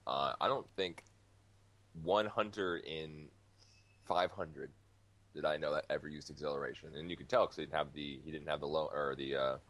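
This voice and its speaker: A man's voice: rumbling low, steady tone, moderate pace, a bit bright. A man talking casually as a gaming podcast co-host, rambling and repeating himself as he reacts.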